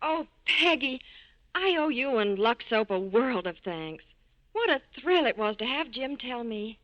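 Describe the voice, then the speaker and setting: High-voiced earnest young office girl. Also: big pitch swings, unhurried, no room sound. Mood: grateful. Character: Sue, fretful, earnest young female office worker. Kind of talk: 1940s radio commercial acting. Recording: muffled vintage radio recording.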